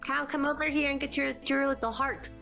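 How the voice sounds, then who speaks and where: A woman's voice: high voice, steady tone, fairly quick, gravelly, pushed and bright. A woman playing a tabletop role-playing game, chatting casually and stating what her character does. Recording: music behind the voice. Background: music.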